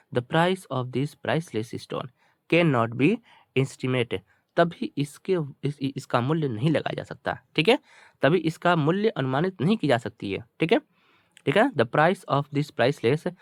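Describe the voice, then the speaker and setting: A man with an Indian accent, a native Hindi speaker, teaching bilingually, reading English slowly word by word and repeating his explanations colloquially. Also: fairly high pitch, no echo, studio quality.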